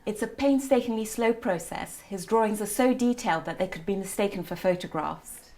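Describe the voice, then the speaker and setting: A woman presents news features as a reporter. Her voice sits at a middle pitch, and she delivers scripted narration. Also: fairly quick, darkish tone.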